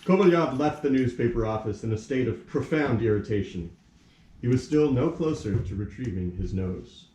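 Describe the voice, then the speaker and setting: Mellow male narrator in an echoey room. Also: mid-range voice, animated, normal speed. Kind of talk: scripted story narration. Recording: echoey room with background noise.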